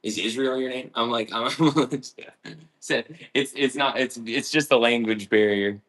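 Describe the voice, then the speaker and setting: Chill young guy rambling while he bakes, slangy and easygoing, middle-pitched voice. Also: measured pace, fairly bright tone. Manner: rambling, laid-back, slangy. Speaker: home baker. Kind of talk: casual chat while baking.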